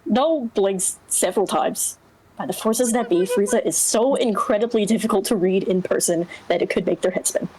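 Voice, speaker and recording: A woman's voice: mid-range voice, a little mellow, slight background noise, poor audio. A woman narrating a scripted story and chatting casually as a reader in a group. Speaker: reader in a group dramatic reading.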